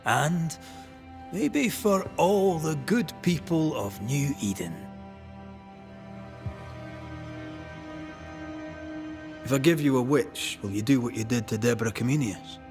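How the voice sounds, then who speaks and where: A man's voice: mid-range voice, expressive, a little mellow, natural voice. A man playing a weary, blunt banisher. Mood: challenging. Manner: measured, accusing storyteller. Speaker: Banisher, ghost hunter. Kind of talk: video game cutscene acting. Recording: long reverb under game music.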